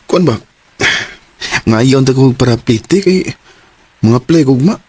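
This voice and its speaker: A man's voice: medium pitch, expressive, slow, warm tone, husky, no echo. A man playing a wheedling, touchy young boyfriend in a radio drama, with a Cebuano accent. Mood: coaxing.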